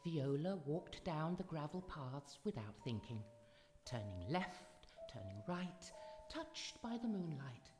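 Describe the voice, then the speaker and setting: A woman's voice: deep voice, sing-song, slow. A woman narrating a scripted fairy-tale radio drama in a dramatic storytelling manner. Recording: echoey hall, music behind.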